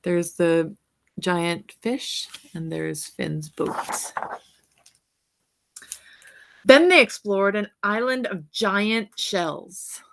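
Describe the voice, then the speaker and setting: Female read-aloud storyteller, mid-range pitch, unhurried pace, teacherly asides to young listeners. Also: vocal fry, a little hiss or hum. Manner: unhurried, drawn-out words, points things out to young listeners. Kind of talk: picture book read-aloud with asides on the pictures.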